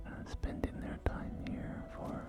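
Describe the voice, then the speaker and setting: A man performing a whispered ASMR roleplay as a courteous real estate agent, speaking in polite, hesitant sales patter, with music behind him. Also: a little slow. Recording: whisper under loud background music. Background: music and game audio.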